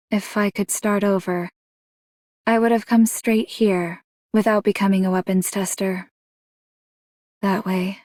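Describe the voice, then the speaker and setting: Actress as a reserved, even-toned idealistic woman. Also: medium pitch, no echo, studio quality, natural voice. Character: reserved, idealistic woman, a former weapons tester. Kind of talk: scripted game voice lines.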